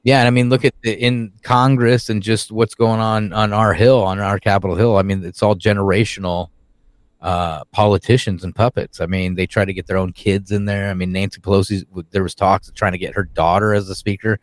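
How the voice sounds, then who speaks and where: A man with a lowish voice complains indignantly, swearing often, on a podcast. His voice is close and dry, with no room sound. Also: flat delivery, fairly quick, no echo. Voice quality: profane, indignant. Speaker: podcast co-host. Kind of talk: angry political chat.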